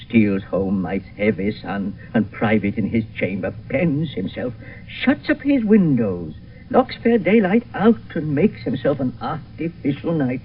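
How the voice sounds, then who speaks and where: Worried noble dad in 70s radio shakespeare, poetic, voice swoops, phone-thin and hissy. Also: male voice, mid-range voice, huge pitch swings, measured pace, phone-quality sound. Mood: worried, grave. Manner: declamatory verse delivery. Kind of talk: 1970s radio Shakespeare acting. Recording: thin, hissy old radio recording, distant.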